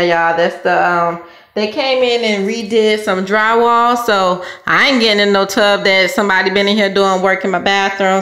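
A woman's voice: medium pitch, fairly bright tone. A woman with a Southern US accent chatting casually in a folksy, informal way, as a vlogger reviewing a product. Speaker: vlogger reviewing a cleaning gadget. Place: indoors in a home bathroom. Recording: roomy echo of hard-walled room.

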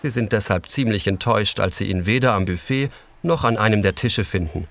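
A man with a fairly low voice serves as the narrator of an audio play for children. He reads the scripted story as a storyteller. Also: a little fast, gravelly, a bit bright.

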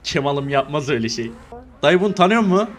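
A man's voice: on the high side, animated, fast talker. A man bantering in online game roleplay, with a teasing, mock-innocent manner.

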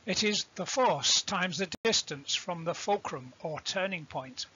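A man giving an explanatory lecture as an instructor, deliberate and didactic, defining terms as he goes. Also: high-pitched, expressive, bright, forceful tone, husky, lo-fi sound. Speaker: instructor presenting a sailing tutorial.

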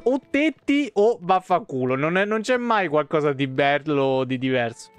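A man's voice: a little high, expressive, normal speed, clean tone, faint music behind. A man in his thirties with a Roman Italian accent, giving casual, slangy, sweary live commentary on a video game. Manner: slangy, swearing, muttering asides. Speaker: game streamer. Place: small room, indoors.

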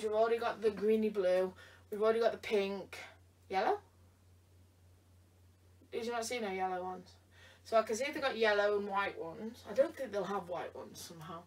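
A tired woman chatting playfully in a try-on vlog, teasing someone off camera. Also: medium pitch. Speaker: vlogger. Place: bedroom indoors. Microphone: camera across the room. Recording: voice from a distance.

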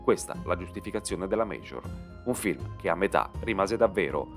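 A man's voice: deep voice, expressive, moderate pace. A man narrating a scripted video essay with emphatic, dramatic phrasing. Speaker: narrator and channel host. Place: voice-over recording. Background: background music.

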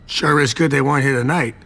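A man's voice: medium pitch, vocal fry, fairly bright tone. An actor voicing a nervous, servile vampire garage attendant in a video game, pleading and evasive in his manner. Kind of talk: video game cutscene acting.

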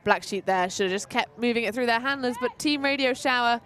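A woman giving relaxed, playful live play-by-play commentary at an outdoor tournament. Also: a little high, brisk.

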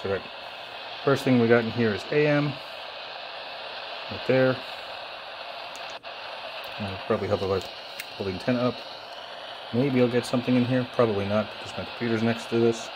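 A man giving a casual, unscripted product demo in an offhand, halting way, thinking aloud as he goes. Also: mid-range voice, background noise. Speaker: presenter of a gadget demonstration. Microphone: phone held near the hands filming.

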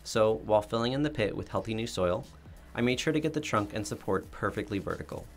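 A man's voice: fairly low pitch, animated, fast. A man, narrating his backyard renovation in a plain, matter-of-fact voice-over. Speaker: DIY home renovation vlogger. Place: voice-over, not recorded in the pictured yard. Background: soft background music.

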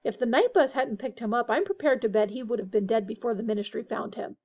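A woman's voice: fairly high pitch, quick pace, darkish tone, husky. An earnest storybook father, acted by a woman narrator. He is middle-aged, protective and insistent. The sound is a touch dull. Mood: heated, worried.

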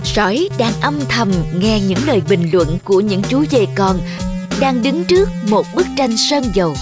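A woman's voice: medium pitch, animated, normal speed. A woman narrator reading a scripted children's fairy tale. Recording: children's music behind the voice.

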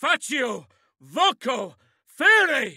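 An actor playing a fierce, theatrical spellcaster in a fantasy video game, declaiming commands and incantations in a gravelly voice. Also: male voice, extremely high pitch, very animated, extremely slow, pushed and bright, dry recording, studio quality, full character voice. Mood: fierce, commanding. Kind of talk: video game combat barks and spell chants.

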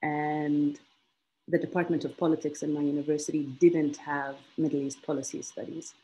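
A woman in her thirties from Egypt, a guest on an online interview, telling her story in a lively way with frequent laughs and repeated phrases for emphasis. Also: deep voice, moderate pace, rough recording. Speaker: guest, institute alumna. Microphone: video call.

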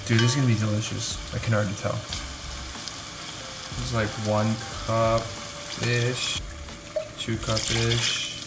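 A man's voice: lowish voice, vocal fry. A young man chatting casually while he cooks at home, thinking aloud and poking fun at his own cooking. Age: young adult. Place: home kitchen, indoors. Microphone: camera mic at a distance. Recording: echoey kitchen with frying noise. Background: sizzling pan and background music.

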